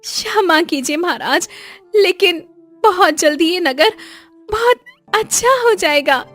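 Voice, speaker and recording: A woman's voice: very high-pitched, lively intonation, a bit bright, faint music behind, exaggerated voice. A woman voicing a distressed, deferential village woman who pleads in a tearful whine for a cartoon moral story. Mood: pleading. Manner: imploring, drawn-out, deferential.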